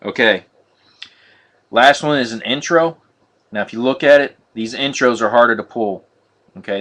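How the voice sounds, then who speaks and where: A man hosting a live card break on a stream, talking viewers through each pull in a flat, monotone way. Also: fairly low pitch. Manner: monotone, says so himself; chatty, addresses viewers as guys. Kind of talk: casual live-stream commentary.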